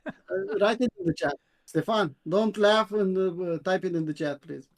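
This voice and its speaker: A man from Romania with a Romanian accent, reviewing crypto charts on a live stream in a halting way, with many fillers and repeats. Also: sits high. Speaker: co-host, crypto chart analyst.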